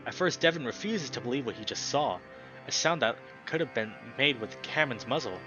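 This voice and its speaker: A man's voice: medium pitch, animated, brisk, muffled recording, music in the background. A young man reading a visual novel aloud as a dramatic story narration for a let's play. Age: young adult.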